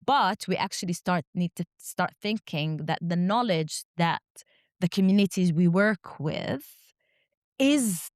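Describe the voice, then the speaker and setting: A woman whose first language is Arabic, speaking as an academic guest in a reflective interview, measured and often ending points with a questioning "right?". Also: on the low side, lively intonation, no room sound, studio quality. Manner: measured, rhetorical "right?" tags.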